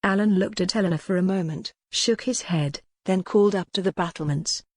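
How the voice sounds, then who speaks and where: A woman reading scripted historical romance as an audiobook narrator, measured and articulate. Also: fairly low pitch, a little mellow, slightly rough, dry recording, studio quality.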